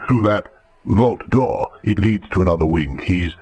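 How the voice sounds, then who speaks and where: An actor playing a courteous, unruffled manservant with a measured, formal manner in a radio drama. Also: male voice, low-pitched, a little mellow, slightly rough. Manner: measured, polite.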